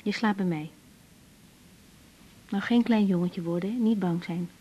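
Husky tender, guarded young woman. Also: mid-range voice. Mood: tender, hesitant. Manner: hesitant, soft, halting. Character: young female doctor, tender, guarded, hesitant lover. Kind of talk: naturalistic 1980s radio drama acting. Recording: slightly dull old radio recording.

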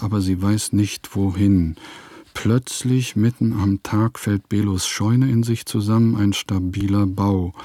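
An actor playing an eccentric, brooding provincial composer, reading out his terse diary in a deliberate literary radio-play style, savouring his words. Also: lowish voice, mellow, no room sound, clean studio sound. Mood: grave, baffled.

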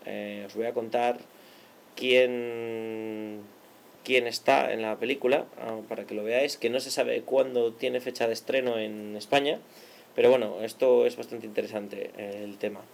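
Gravelly casual radio host. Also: male voice, mid-range voice, steady tone, unhurried, slight background noise. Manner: hesitant, many fillers and restarts.

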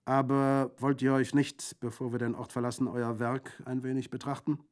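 A man's voice: medium pitch, little pitch movement, moderate pace, a little mellow, voice pushed up, close to an everyday voice. A man voicing a boisterous Corsican bandit in an audiobook, jovial and exclamatory, with a gleefully mocking, gruesome humour. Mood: urging, wry. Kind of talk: audiobook character acting.

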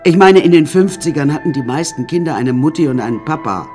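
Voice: mid-range voice, animated, fast, a little mellow, soft background music, lightly acted. An actor playing an imperious, eccentric older woman painter in a radio drama, haughty and theatrical, addressing the listeners directly. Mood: haughty, complaining.

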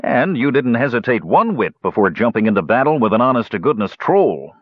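Man voicing a stubborn, gruff old dwarf uncle. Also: mid-range voice, big pitch swings, fairly quick, no room sound, highs cut off, pitched above the performer's own voice. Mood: amused, fond. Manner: old-fashioned folksy speech, scolding and teasing. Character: stubborn, gruff older dwarf uncle, teasing yet affectionate.

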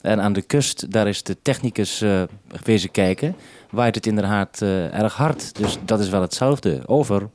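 A man's voice: fairly low pitch, normal speed, background noise. A man interviewing over two-way radio as the programme maker at base, giving practical instructions and using formal call procedure. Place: sheltered base station.

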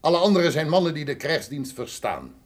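Animated military count. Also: male voice, on the high side, brisk. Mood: dutiful. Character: dutiful mercenary captain, a count reporting. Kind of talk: theatrical radio drama acting.

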